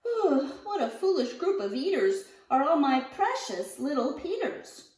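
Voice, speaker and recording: A woman's voice: high voice, big pitch swings, measured pace. Sweet, patient, weary storybook mother, as a woman reader plays her. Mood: weary, fond. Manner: soft chuckling, murmuring, sighing to herself. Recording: roomy echo, distant mic.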